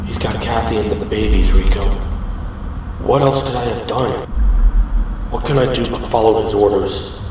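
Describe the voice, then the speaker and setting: A man's voice: on the low side, moderate pace, long pauses, close to an everyday voice. A man playing an adult police officer, weary and wry, in an audio drama. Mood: guilty, anguished. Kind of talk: audio drama character acting. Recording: roomy echo, distant, background noise.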